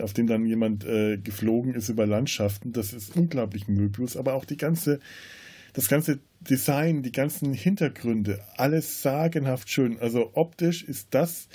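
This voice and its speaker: Guy on a film podcast, mellow n husky voice, casual, keeps restarting his phrases. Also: medium pitch. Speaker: podcast co-host.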